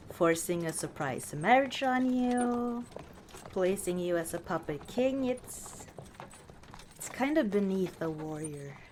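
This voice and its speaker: A woman voicing a proud but softening battle-scarred orc warrior wife in an intimate ASMR roleplay, speaking tenderly and haltingly. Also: on the low side, a little hiss or hum. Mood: uneasy, guilty. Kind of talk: ASMR roleplay, intimate one-sided dialogue. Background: outdoor ambience, horse hooves.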